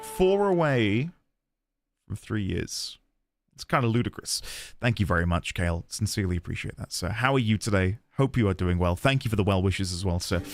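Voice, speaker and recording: Excitable man streaming a game. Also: on the low side, moderate pace, soft background music. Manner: excitable and chatty, thanks viewers warmly, frequent laughs and restarts. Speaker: streamer playing a video game. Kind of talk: casual live-stream chat and commentary.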